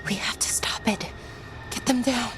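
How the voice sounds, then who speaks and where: A woman voicing an anxious, earnest young elf adventurer in a video game, halting and quick to blame herself. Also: on the high side, in a whisper, lightly acted. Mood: shocked, urgent. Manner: halting, hesitant, repeats herself. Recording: game music behind the voice.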